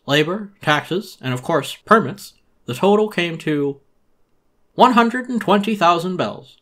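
High, oily shopkeeper raccoon. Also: male voice, bright, forceful tone, heavily acted. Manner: unctuous, wheedling, tacked-on questioning tags. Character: pushy, oily shopkeeper raccoon, male, falsely friendly salesman. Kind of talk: dramatic storybook reading of a video game character.

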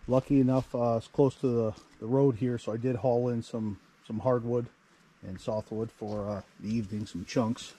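A man's voice: mid-range voice, fairly even intonation, moderate pace, warm tone, breathy edge. A man in his thirties vlogging casually from inside a tent in the woods, rambling through his plans with fillers and restarts. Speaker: outdoor vlogger. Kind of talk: casual camp vlog. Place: inside a canvas hot tent in autumn woods.

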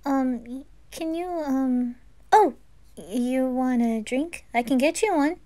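Gentle, bashful anime sailor boy played by a woman, highish and unhurried, caring and hesitant. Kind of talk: amateur anime audio roleplay.

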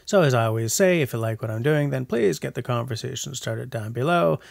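A man's voice: mid-range voice, expressive, a little fast, gravelly, dry recording, clean studio sound. A man in his forties with a jokey, self-mocking manner, narrating a casual vlog voice-over. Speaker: bushcraft vlogger. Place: voice-over, not recorded outdoors. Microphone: voice-over mic.

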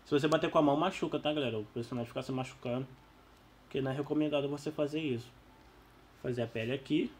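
A Brazilian man who is losing his voice, giving casual, slangy, excitable gameplay commentary. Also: mid-range voice, rapid-fire, a little hiss or hum. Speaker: gaming YouTuber.

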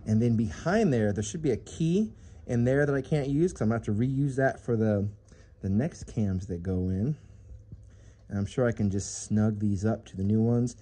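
A man's voice: lowish voice, moderate pace, dark tone, slightly rough. A man filming himself in his home garage as he works on an engine, chatting casually about the build and joking at his own expense. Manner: chatty and self-mocking, jokey asides. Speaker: car hobbyist vlogger. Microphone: phone camera held while working.

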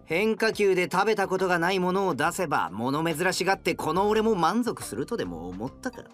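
An actor playing an arrogant, grudging young hero and gourmet in an anime audio drama, with a pompous, blustering, rough way of speaking. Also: male voice, high-pitched, very animated, brisk, fairly bright tone, soft background music. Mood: scornful.

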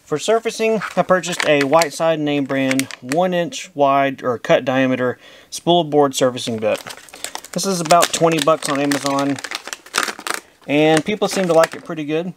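A man in his thirties with a voice pitched a bit above the usual male range. He talks through a workshop task in a relaxed, plainspoken way, thinking out loud as he goes, recorded close-up in a home woodshop. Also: a little hiss or hum. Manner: easygoing, plainspoken, thinks aloud. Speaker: woodworking channel host. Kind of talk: casual how-to narration.

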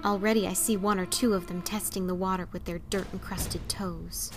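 A woman's voice: on the low side, steady tone, moderate pace. A woman giving a dramatic reading of short horror stories as narrator. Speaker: story reader. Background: faint music.

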